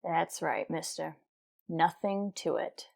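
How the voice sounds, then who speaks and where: An actress playing a tough, dry police detective in a radio crime drama, speaking in a terse, hard-boiled manner. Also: female voice, low voice, steady tone, takes it slowly, gravelly, heavily acted.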